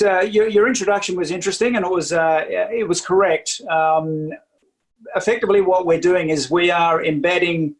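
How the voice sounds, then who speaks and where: A man's voice: on the high side, rough recording. An Australian man with an Australian accent, a podcast guest explaining his company's technology, filling gaps with many ums. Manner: hesitant, many ums and uhs. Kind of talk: casual interview.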